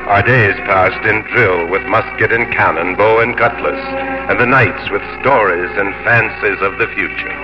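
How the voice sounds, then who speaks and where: A man's voice: fairly low pitch, moderate pace, lightly acted. A man playing a brave, grave Elizabethan sea captain in a 1950s radio drama, speaking in a stately, resolute way. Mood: stately narrating. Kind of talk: 1950s radio drama acting, first-person narration. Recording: muffled 1950s radio broadcast with music.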